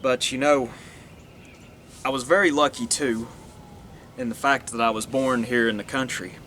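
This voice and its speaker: An earnest man, musing aloud in a loose, rambling monologue that often restarts and trails off. His voice sits at an ordinary middle pitch. Faint traffic noise hums behind him. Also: steady tone, normal speed, a bit bright. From Northeast Tennessee, USA. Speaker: vlogger. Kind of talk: casual monologue to camera.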